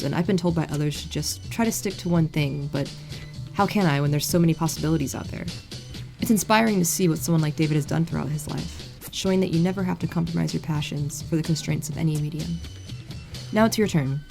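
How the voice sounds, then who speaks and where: A woman's voice: deep voice, vocal fry, a little mellow. A young woman reading a scripted podcast outro and promotion. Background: music under the voice.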